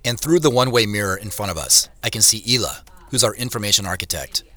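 A man's voice: lowish voice, animated, fast, sharp tone. A man playing an obsessive professional puzzling over a mystery, narrating in a confiding, conversational way as if reasoning aloud. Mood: focused. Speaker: narrator and lead character. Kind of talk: first-person audio drama narration, conversational.

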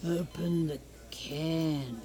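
A woman's voice: low-pitched, fairly even intonation, over music. A woman playing an eerie, demanding old woman in an audio comedy-drama, drawing out words insistently on a noisy track. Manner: slow, drawn-out, insistent.

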